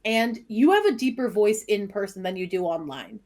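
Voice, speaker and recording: A woman's voice: mid-range voice, lively intonation, normal speed, a little mellow. A woman from Maine with a Maine accent, a teacher co-hosting a casual chat podcast, chatty and often talking over her co-host.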